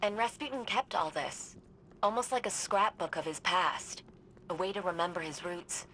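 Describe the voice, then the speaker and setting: A woman playing an earnest, thoughtful sci-fi ally in a video game, with a measured, dramatic and reflective delivery. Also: lowish voice, fairly bright tone, a little hiss or hum, natural voice, poor audio. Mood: wistful. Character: earnest, thoughtful female sci-fi ally guiding a soldier by comms. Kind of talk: scripted video game dialogue and storytelling. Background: prominent music.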